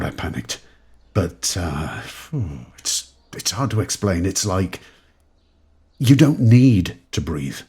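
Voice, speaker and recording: A rattled, wry adventurer with a deep, very husky voice. He muses haltingly and sweary in audio drama style, taking his time while his pitch roams widely. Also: a little mellow, heavily acted. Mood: awed, unsettled. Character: rattled man who has crossed between eras, wry, sweary. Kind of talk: modern audio drama monologue.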